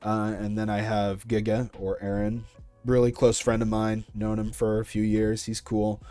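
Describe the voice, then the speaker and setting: A man's voice: fairly low pitch, monotone, normal speed, dark tone, clear, resonant tone, soft background music. A young man answering interview questions about his project in a casual, chatty and informal way. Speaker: series creator being interviewed.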